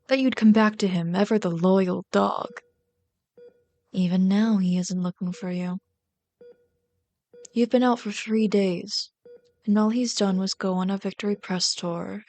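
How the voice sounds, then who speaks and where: A woman's voice: medium pitch, creaky. A woman playing a bitter but caring villain queen in an ASMR roleplay, scolding and sardonic, often exasperated. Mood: bitter, scornful. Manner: scolding, sardonic, exasperated, dropping to hushed asides. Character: bitter, caring female villain rat queen tending a captive sidekick. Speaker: voice actor in an audio roleplay.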